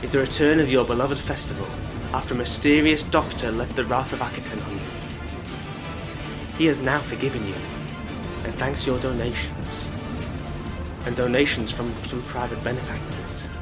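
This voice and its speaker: A man's voice: medium pitch, steady tone, normal speed, long pauses, lightly acted. Pompous ceremony master played by a man, over music. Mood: grand, gloating. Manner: pompous, proclaiming. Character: grandiose master of ceremonies, self-proclaimed lord and master. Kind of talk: fan audio drama acting, public address.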